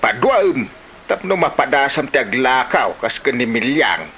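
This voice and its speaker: A man's voice: medium pitch, sing-song, measured pace, pushed and bright, a little hiss or hum, exaggerated voice. A man with an Ilocano Filipino accent acting a nosy, teasing village husband in a comedy drama.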